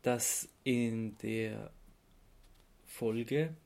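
A man's voice: medium pitch, fairly even intonation, drawn-out words, creaky, darkish tone. A man with an Austrian accent hosting a casual podcast, explaining his research in a hesitant, amused way as he searches for words. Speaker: podcast host presenting research.

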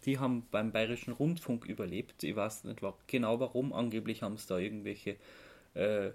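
A man with an Austrian accent co-hosting a podcast, explaining things casually in a somewhat halting way. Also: lowish voice, darkish tone. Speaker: podcast co-host.

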